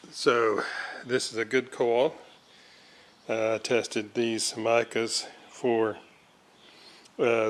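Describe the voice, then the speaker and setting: An older man giving casual, halting, folksy narration as a hobbyist at his workbench. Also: mid-range voice, fairly bright tone, a little hiss or hum. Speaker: radio restoration hobbyist and host.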